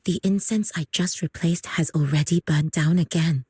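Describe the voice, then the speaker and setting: A woman voicing a gentle, composed young incense bearer in a video game, calm and polite, with a slightly husky voice. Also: deep voice, normal speed, dry recording, clean studio sound. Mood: grave, explanatory. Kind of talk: video game character acting.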